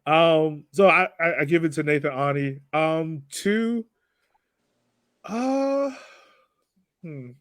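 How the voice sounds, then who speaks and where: A man's voice: on the high side, slow, long pauses, fairly bright tone, dry recording, studio quality. A man co-hosting a casual sports podcast, chatting about a game in a playful, joking way. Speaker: podcast co-host.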